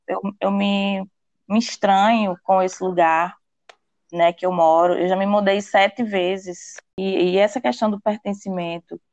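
A woman's voice: medium pitch, fairly even intonation, unhurried, darkish tone, lo-fi sound. A woman co-hosting a podcast, speaking thoughtfully in casual conversation, with hesitant restarts. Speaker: podcast co-host.

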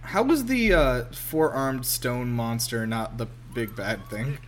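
A man's voice: medium pitch, animated, slow. A man giving casual, wry film commentary as a podcast co-host, exclaiming and swearing freely.

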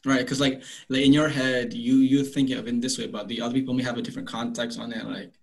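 A man's voice: medium pitch, little pitch movement, vocal fry. A young man from Malaysia hosting a casual conversation, talking with lots of fillers and restarts. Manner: rapid, filler-heavy, frequent restarts.

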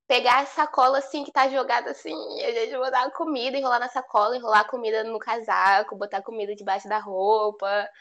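A woman's voice: a little high, flat delivery, fast, hardly any pauses, a bit bright, dull audio. A woman from Rio de Janeiro with a Carioca accent, a podcast guest chipping in eagerly. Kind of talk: casual chat.

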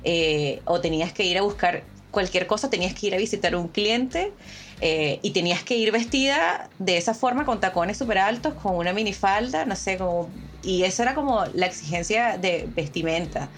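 A woman's voice: mid-range voice, expressive, moderate pace. Venezuelan woman, hesitant panel talk. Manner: hesitant, many restarts and fillers. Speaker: panel guest. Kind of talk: casual panel discussion.

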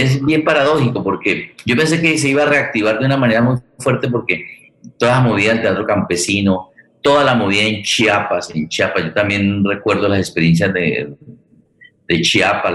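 A man's voice: fairly low pitch, normal speed, fairly bright tone, reverberant, low-quality recording. A man with a Colombian accent hosting a conversational interview, repeating words as he searches for the next one. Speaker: host.

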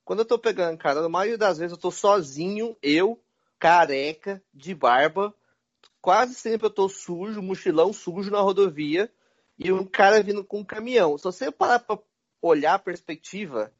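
A man hosting a casual conversation, talking animatedly and calling the listener "cara". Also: high voice. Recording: dull lo-fi sound.

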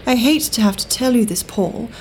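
Cool British lady spy, genteel and high-pitched played by an actress. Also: normal speed, darkish tone, a little hiss or hum, close to an everyday voice, low-quality recording. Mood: coolly detached. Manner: genteel, dry, arch. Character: cool, worldly 1930s British government agent woman. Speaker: actor in audio drama. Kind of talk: full-cast audio drama acting.

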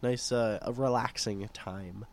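A young man with a hoarse voice from a sore throat, chatting in an easygoing way, laughing often and restarting his sentences. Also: on the low side, fairly even intonation, measured pace. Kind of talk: casual chat.

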